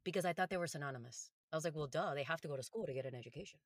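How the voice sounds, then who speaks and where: A woman's voice: deep voice, racing, no room sound, studio quality. A woman from Colombia whose first language is Spanish, speaking earnestly and encouragingly as a podcast interview guest.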